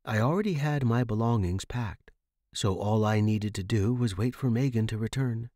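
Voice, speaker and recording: A man's voice: lowish voice, normal speed, warm tone, dry recording, studio quality. A man reading scripted horror fiction as the narrator.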